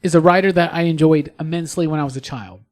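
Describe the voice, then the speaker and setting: A man's voice: fairly high pitch, fast, a little mellow. A man hosting a podcast in a conversational, effusive way, rephrasing his points for emphasis. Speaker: podcast host.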